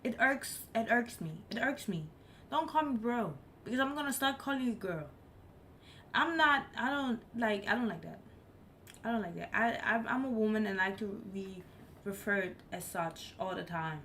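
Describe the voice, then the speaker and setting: A woman's voice: medium pitch, lively intonation, normal speed, a bit bright, slight background noise. A woman chatting casually on a live stream, speaking English as her third language and stressing her points by repeating them. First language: not English. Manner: emphatic, repeats herself for effect. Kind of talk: casual chat.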